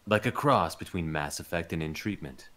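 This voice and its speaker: A man's voice: sits low, expressive, moderate pace, bright, forceful tone. A man talking casually and informally as an interview guest. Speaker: interview guest, voice actor and audio drama producer.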